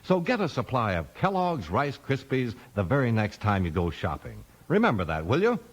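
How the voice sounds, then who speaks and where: Lively 1950s radio announcer, a man. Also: mid-range voice, moderate pace. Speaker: announcer and narrator.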